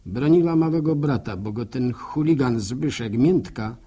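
An actor playing a sympathetic, grumbling village countryman who muses aloud, with a gravelly, husky voice, in a radio drama. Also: mid-range voice, animated, mellow. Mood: indignant. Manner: rustic, musing, drawn-out, hesitant repetitions. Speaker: narrator character in a radio serial. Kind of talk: folksy radio drama monologue.

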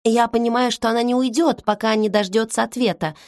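A woman reading a novel aloud in the first person, measured and intimate, like inner monologue. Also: fairly high pitch, steady tone, dry recording. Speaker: audiobook narrator. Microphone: close studio mic. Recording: dry studio recording.

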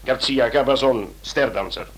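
An actor playing an authoritative, businesslike senior police official in a radio drama, speaking in formal, deliberate phrases. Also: male voice, medium pitch, a bit bright, lightly acted. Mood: methodical. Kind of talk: Dutch-language radio drama acting. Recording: noisy old radio drama recording.

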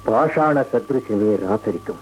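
A man's voice: medium pitch, animated, unhurried, slight background noise, close to an everyday voice, low-quality recording. An actor playing an aging, remorseful emperor and father in a radio play, grave, regal and given to sighing. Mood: remorseful, solemn. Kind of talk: radio play acting, solemn. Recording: radio play with faint music.

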